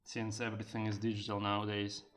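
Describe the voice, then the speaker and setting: A man's voice: on the low side, monotone, fast talker, fairly bright tone. A man streaming live, chatting casually, answering chat with lots of fillers and joking in broken learner Japanese. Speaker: streamer and game developer.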